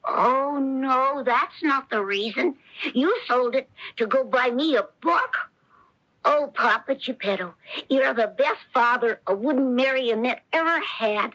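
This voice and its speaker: A woman's voice: high-pitched, unhurried, fairly bright tone, poor audio. An actress playing an eager, naive little wooden puppet boy in a radio drama, with earnest exclamations. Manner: wide-eyed, earnest exclamations. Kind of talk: old-time radio drama acting. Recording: 1970s radio broadcast.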